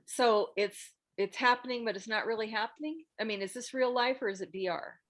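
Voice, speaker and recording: A woman hosting an online group critique, giving frank, thoughtful script feedback in a halting, casual way over a video call. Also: fairly high pitch, fairly bright tone. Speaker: host of a table read meet-up giving script feedback. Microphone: video call microphone.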